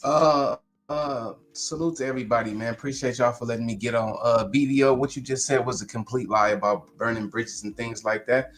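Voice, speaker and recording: A man from Long Beach, California, joins a live-stream debate as a caller. He pushes his argument insistently in quick bursts, in a mid-range voice. Faint music runs behind him. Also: medium pitch, steady tone. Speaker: guest caller.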